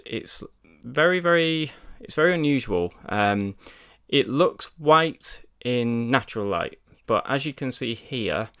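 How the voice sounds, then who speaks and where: A man's voice: medium pitch, a bit bright, clean tone. A man talking casually through a watch review, chatty and filled with ums and repeated words. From United Kingdom. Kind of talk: casual product review to camera.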